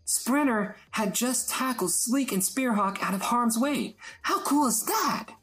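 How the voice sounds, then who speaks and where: A man voicing an excitable young woman who livestreams, squealing with breathless excitement. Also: very high voice, unhurried, husky, soft background music, voice pushed up, exaggerated voice. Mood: excited.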